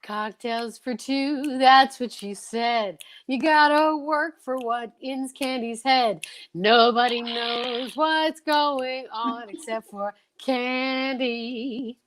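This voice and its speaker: Slow, teasing woman commentator. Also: sits high, steady tone, slight background noise. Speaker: show commentator. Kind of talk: playful running commentary on a radio story.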